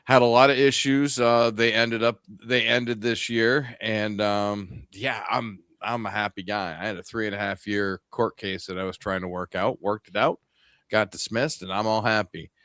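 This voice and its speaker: A man's voice: fairly low pitch, fairly even intonation, moderate pace, a bit bright, clear, resonant tone, no room sound, studio quality. A man with an upstate New York accent chatting casually on a ham radio show, rambling along with plenty of ums and restarts. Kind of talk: casual chat.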